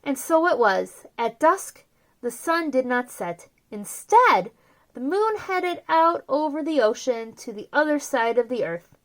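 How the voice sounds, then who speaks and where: A woman reading a children's picture book aloud with theatrical, drawn-out emphasis on key words. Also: sits high, big pitch swings. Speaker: children's storyteller, host.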